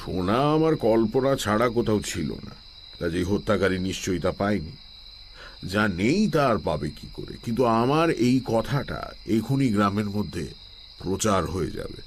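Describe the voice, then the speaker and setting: An actor playing a wise, calm, shrewd village holy man in an audio drama, speaking in a measured, philosophical way. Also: lowish voice, slight background noise, lightly acted. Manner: measured, philosophical, chanting Sanskrit verses slowly. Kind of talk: Bengali audio-drama acting. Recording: steady night insect ambience behind.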